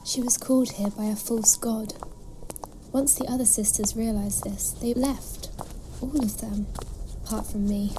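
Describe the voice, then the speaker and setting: A woman playing a gentle, reverent, fearful cat nun in an audio drama, with a breathy, hushed, earnest delivery. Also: fairly high pitch, fairly even intonation, a little slow, noisy background, close to an everyday voice. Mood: solemn, fearful. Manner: earnest, solemn.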